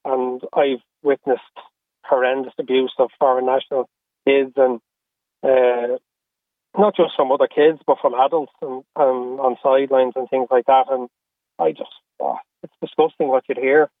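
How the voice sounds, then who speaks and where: A middle-aged man with an Irish accent speaking candidly and earnestly about personal matters as an interview guest, in a halting way with repeated phrases. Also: medium pitch, dry recording. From Australia and Ireland. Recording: phone-like, narrow-band radio call.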